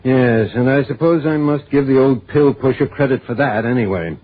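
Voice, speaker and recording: Actor as a husky, crusty radio-drama grumbler. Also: male voice, medium pitch, moderate pace, close to an everyday voice. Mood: suspicious, grumbling. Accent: American. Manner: folksy, emphatic, grumbling. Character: crusty, suspicious, opinionated man, folksy and insistent. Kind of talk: 1950s radio drama acting. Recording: thin, phone-like old radio recording.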